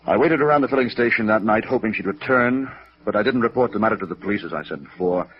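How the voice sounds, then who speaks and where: A man playing a proud, lovelorn older gentleman in a 1950s radio drama, formal and defensive, with bitterness underneath. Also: fairly low pitch, quick pace, lightly acted. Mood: defensive, pained. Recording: muffled vintage radio recording.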